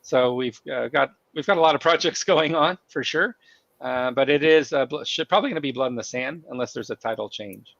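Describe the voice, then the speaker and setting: Gravelly man with a cold, halting. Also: mid-range voice, a bit bright, no room sound, highs cut off. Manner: halting, many fillers and restarts. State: has a cold, losing his voice. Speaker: host of company town hall stream. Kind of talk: live stream chat.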